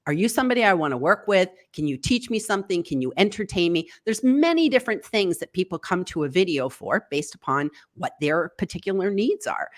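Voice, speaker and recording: A woman guest on a live video interview, giving encouraging tips in an animated way and leaping up in pitch for quoted thoughts. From United States. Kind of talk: online interview. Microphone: video call.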